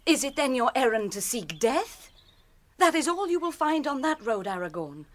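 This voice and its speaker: An actress playing a proud, fierce young noble shieldmaiden in a radio drama, impassioned and formal. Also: female voice, high-pitched, sing-song, normal speed. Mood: pleading. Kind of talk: classic radio drama acting.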